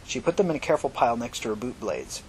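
A man's voice: sing-song, fast. A man narrating dramatic fiction as an audiobook reader. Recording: noisy recording with low rumble.

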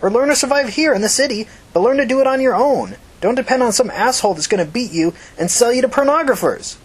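A woman's voice: fairly high pitch, lively intonation, fast, husky, voice pushed up, rough recording. A woman voicing a kind but blunt young streetwise woman in a story reading, earnest and plain-spoken. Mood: urgent, blunt. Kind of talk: audiobook character acting.

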